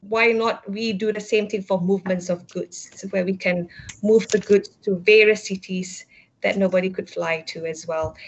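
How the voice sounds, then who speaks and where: A middle-aged woman explaining her work as a panelist on a virtual panel, speaking into an earphone headset over a video call. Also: mid-range voice. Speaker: panelist, company executive. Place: book-lined room indoors, over video call. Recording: dull, lo-fi video call audio.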